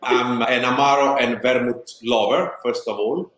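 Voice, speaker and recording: An Italian man in his forties with a heavy accent chats eagerly as an interview guest. He rattles off lists in quick, clipped fragments over a video call. His voice bounces around a roomy, echoey space. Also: medium pitch, steady tone, fairly bright tone. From Italy. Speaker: guest, spirits brand founder. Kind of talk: casual interview chat. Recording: echoey room.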